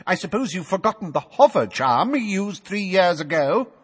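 A man's voice: sing-song, moderate pace, husky, heavily acted. Hot-tempered middle-aged bureaucrat read by a man, sputtering, swings up and down, echoing reverb. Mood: scornful. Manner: shouting, sputtering, high and strained. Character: blustering, pompous middle-aged male minister, easily enraged. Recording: long hall reverb.

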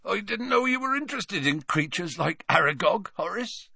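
A man voicing a huge, soft-hearted male groundskeeper, tearful and choked up, speaking in a folksy way, raised above his own voice. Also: high-pitched, lively intonation, moderate pace, creaky, husky, no echo, studio quality, voice pushed up, exaggerated voice.

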